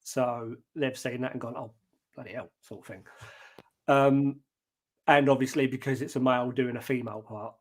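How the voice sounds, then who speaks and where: A man with a deep talking voice answers interview questions about his singing. He speaks in a relaxed, plain-spoken way, often pausing on an um before he finds his point, and his pitch sits around the middle for a man. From Britain. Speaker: guest singer.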